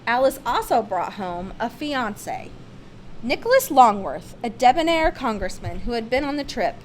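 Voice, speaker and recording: A woman's voice: on the high side, very animated, normal speed, a little hiss or hum, poor audio. A woman reads a children's picture-book biography aloud with theatrical, emphatic expression. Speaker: storybook reader.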